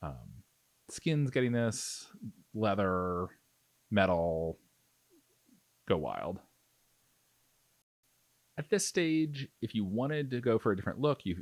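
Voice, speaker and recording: A man's voice: lowish voice, no echo. A man giving a casual tutorial voice-over as a hobby painting instructor, explaining in a conversational, enthusiastic way.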